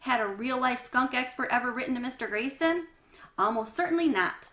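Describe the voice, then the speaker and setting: Woman, children's storybook narrator, expressive reading, slightly high pitch, echoey room. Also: a little fast. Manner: explains hard words in asides. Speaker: read-aloud storyteller. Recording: roomy, echoey room sound.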